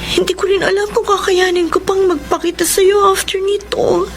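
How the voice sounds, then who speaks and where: A woman's voice: very high voice, level tone, normal speed. A young woman playing a lovelorn, earnest older sister in a Tagalog radio romance, confessing with emotion and mixing in English. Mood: tearful confession. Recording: music under the voice.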